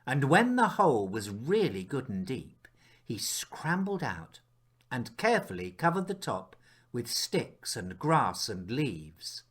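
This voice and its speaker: A man reads a children's story aloud at a slow, unhurried pace. His pitch moves up and down in a lively way, and he uses dramatic pauses and drops into hushed moments as a storyteller. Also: breathy edge. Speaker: author reading his picture book aloud.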